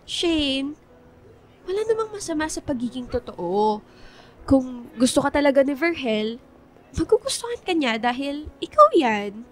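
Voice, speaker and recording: An exasperated young female best friend in a radio drama. Her voice is high and very animated, earnest and scolding but caring. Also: unhurried, long pauses. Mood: earnest, concerned.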